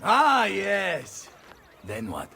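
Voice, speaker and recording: An actor playing a hot-blooded, theatrical Italian mercenary captain with an Italian accent, bombastic and volatile, in a video game cutscene. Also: male voice, high voice, wildly swooping pitch, very slow, creaky, sharp tone, slightly rough, far from the mic, full character voice. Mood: conspiratorial, commanding. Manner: bombastic, swearing, emotionally volatile. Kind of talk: dramatic game cutscene acting.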